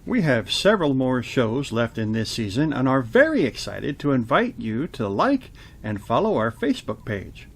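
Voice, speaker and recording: A man's voice: mid-range voice, very animated, moderate pace, hardly any pauses, husky. A man hosting a radio theater show, reading announcements and trading remarks. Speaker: announcer and host.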